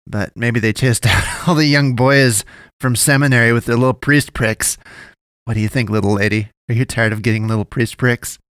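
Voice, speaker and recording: A man's voice: mid-range voice, steady tone, moderate pace, fairly bright tone, no echo, studio quality, close to an everyday voice. A man voicing a crude, sleazy drunk who corners a woman in an alley, talking in a drawling, cooing way. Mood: leering.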